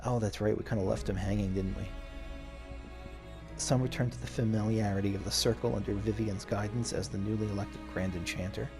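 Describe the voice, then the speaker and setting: Casual gamer guy over clear background music. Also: on the low side, fairly even intonation, a little fast. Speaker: gamer playing through a video game and commenting. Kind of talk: casual commentary mixed with dramatic reading of on-screen story text. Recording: game music behind the voice.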